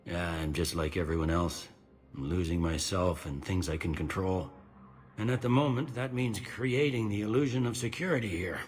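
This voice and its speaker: A middle-aged police commander in a video game, acted by a man, with a low voice. He is cynical and tired, speaking plainly and with dry candor. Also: normal speed, faint music behind, lightly acted. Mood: resigned. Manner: plain-spoken, wry, world-weary.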